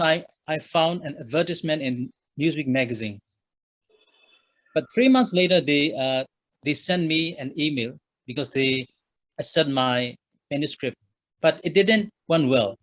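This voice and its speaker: A man from Myanmar with a Burmese accent, a guest author answering interview questions in a halting way with many fillers. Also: on the high side, fairly bright tone, no echo, poor audio. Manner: halting, frequent uh fillers, simple phrasing.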